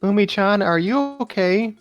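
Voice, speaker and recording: A man's voice: high-pitched, measured pace, dull audio, faint music behind, voice pushed up. A man voicing a cheerful, eager and admiring young woman in a story reading. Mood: concerned, curious. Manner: breezy, eager, animated.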